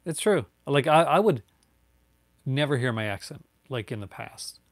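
A man's voice: medium pitch, animated, normal speed, no echo. Man, 40s, light Newfoundland accent, rambling live-stream chat, dry close sound, webcam at home. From Newfoundland, Canada. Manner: rambling, many fillers and restarts. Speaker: YouTuber answering viewer chat. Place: home room with bookshelves, indoors.

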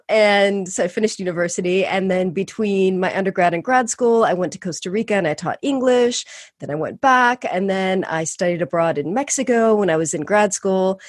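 A woman's voice: lowish voice, dry recording, clean studio sound. A woman from California, a podcast guest, talking in a casual, enthusiastic way and laughing often. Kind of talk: casual interview talk.

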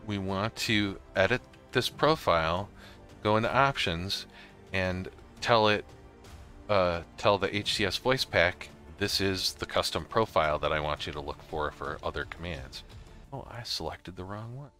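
A middle-aged man explaining software step by step in a casual, informal tutorial style. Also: deep voice, unhurried, faint music behind. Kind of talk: casual screen-recorded walkthrough.

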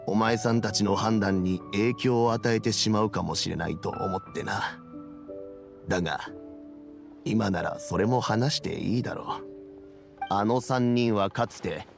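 A reflective old mentor from a video game. His low voice has a gravelly creak and he speaks in measured, old-fashioned phrases. Music plays clearly behind him. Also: expressive. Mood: calm, explanatory. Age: older. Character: aging, reflective male elder statesman and mentor. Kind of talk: Japanese game voice acting.

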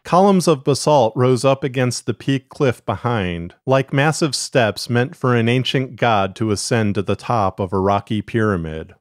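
A man's voice: mid-range voice, animated, moderate pace, few pauses, dry recording. A man reading scripted narrative fiction as a podcast storyteller, close to the microphone. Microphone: close, dry studio-style vocal microphone.

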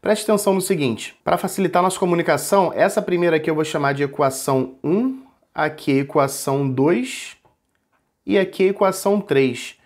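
Man, 40s, math teacher, slightly high voice, patient step-by-step explanation, webcam at home. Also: brisk. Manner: patient, repeats and points at the board. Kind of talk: explaining an exam problem step by step.

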